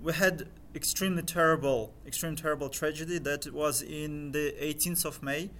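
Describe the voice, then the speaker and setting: A young man whose first language is Crimean Tatar, a guest talking casually in an interview in English and pausing often to search for words. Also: fairly high pitch, steady tone, fairly bright tone, a little hiss or hum. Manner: hesitant, filler-heavy, searching for words.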